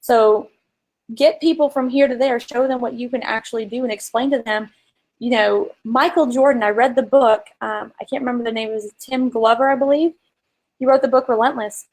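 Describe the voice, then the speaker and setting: A woman's voice: a little high, fairly even intonation, fairly quick, rough recording. A woman co-hosting a casual business coaching chat, talking informally and emphatically and explaining with examples. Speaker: co-host and marketing coach.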